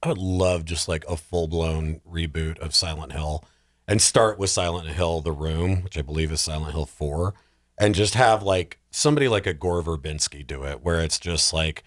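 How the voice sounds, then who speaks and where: A man's voice: extremely low pitch, moderate pace, no room sound, clean studio sound. A man in casual podcast conversation as a guest, talking in loose, rambling runs. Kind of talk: casual chat.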